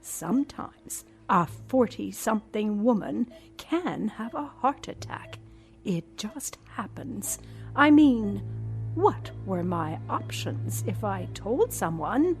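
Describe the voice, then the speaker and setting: An actress playing a vengeful, patient elderly woman in an audio drama, deliberate and gloating, sometimes sinking to a whisper. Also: medium pitch, big pitch swings, faint music behind. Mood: quiet gloating. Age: older. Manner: slow, deliberate, dropping into whispers.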